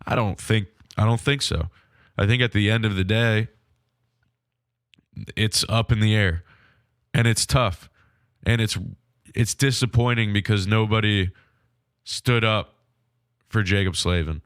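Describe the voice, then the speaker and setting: Casting: male podcast host, low voice, a bit stuffy from a cold, clean dry close-mic sound. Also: steady tone, fairly bright tone, smooth, no room sound. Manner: frank, measured hockey analysis. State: nasal from a cold. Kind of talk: solo sports podcast recap.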